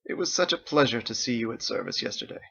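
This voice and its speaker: An elderly storybook father, acted out by a male reader, effusive and pious. He wheedles and exclaims in a pitch that lifts above the reader's own, with a creaky edge, on a slightly dull recording. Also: lively intonation, quick pace, pushed and bright. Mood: pleading. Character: charming, fretful elderly father and tavern keeper, pious.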